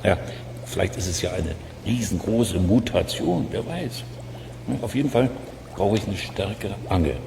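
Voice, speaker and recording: A man's voice: low voice, animated, normal speed, creaky. A man in a children's radio play playing a curious, chatty amateur monster hunter who muses aloud in hesitant asides. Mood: curious, musing. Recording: noisy cassette transfer.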